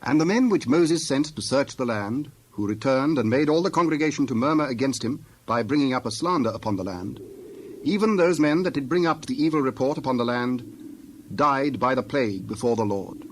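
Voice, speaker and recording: A man's voice: medium pitch, expressive, a little fast, a little hiss or hum. A man narrating scripture from a script in a formal, solemn manner. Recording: faint outdoor ambience with birds.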